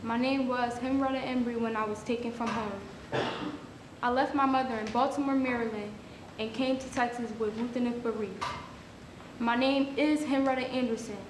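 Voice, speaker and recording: A woman's voice: on the high side, fairly even intonation, normal speed, natural voice. A woman performing a dramatic reading of a historical ad as an earnest freedwoman searching for her mother. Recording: echoey hall with clear noise.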